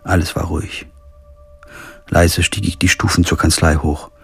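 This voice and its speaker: Sober lawyer detective type, lowish voice, radio crime play, bit of music. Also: male voice, flat delivery, normal speed, gravelly, a bit bright, slightly rough, full character voice. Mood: sober narrating. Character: male lawyer narrator, sober and insistent investigator. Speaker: narrator and lead character. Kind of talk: German radio crime drama, first-person narration.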